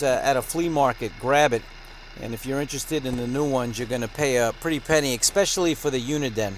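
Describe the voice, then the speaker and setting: A man's voice: on the high side, a little hiss or hum, rough recording. A man hosting a conversational product review, presented in the manner of a radio show. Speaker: host of a radio gear review channel.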